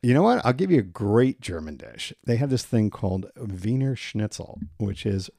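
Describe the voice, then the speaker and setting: Gravelly man with a lively low voice. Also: normal speed, no echo, clean studio sound. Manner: laughs often, loose and agreeable. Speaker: podcast co-host. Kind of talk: casual chat.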